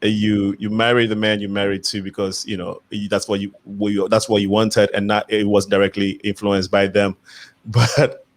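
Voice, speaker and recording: A man's voice: on the low side, little pitch movement, normal speed. A man hosting a panel discussion, putting questions to guests and laughing easily. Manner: laughs easily, short affirmations. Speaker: host.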